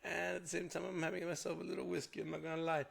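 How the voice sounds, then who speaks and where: A hoarse young man, a realtor chatting loosely through a casual vlog walkthrough with lots of fillers. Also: fairly high pitch, level tone, racing. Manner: rambling, filler-heavy. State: hoarse from a cold.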